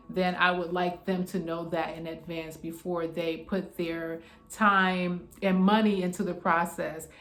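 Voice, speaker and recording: A woman in her forties with a New York accent talks through how she works as an acupuncturist. Her voice sits low and friendly, and she keeps checking in with listeners in a chatty, reassuring way. The room around her adds a noticeable echo. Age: 40s. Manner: chatty, reassuring, often tags "okay?". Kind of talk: casual explainer talking to camera.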